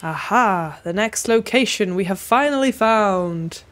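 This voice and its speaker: A woman's voice: medium pitch, animated, measured pace, fairly bright tone. A young woman giving casual, exasperated gameplay commentary, swearing and reacting aloud. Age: young adult. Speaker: game streamer. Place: small home room.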